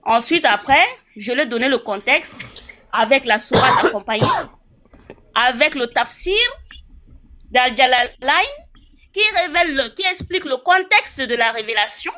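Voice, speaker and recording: A woman's voice: sits high, lively intonation, pushed and bright. A woman from Chad, arguing assertively in a live debate and firing off citations. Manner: assertive, rapid-fire citing of sources. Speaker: debate participant.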